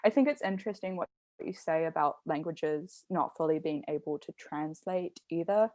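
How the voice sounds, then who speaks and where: A woman's voice: deep voice, moderate pace, a little mellow, dry recording. A young adult woman, an artist, talking reflectively and explaining her ideas with care in a conversation. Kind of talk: thoughtful conversational talk.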